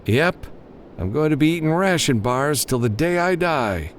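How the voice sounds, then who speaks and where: A man voicing a gruff male freelance gunman in audiobook dialogue, calm and wry. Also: a little high, moderate pace, slight background noise, voice pushed up, close to an everyday voice. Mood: dry, resigned. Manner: calm, dry, wry under fire.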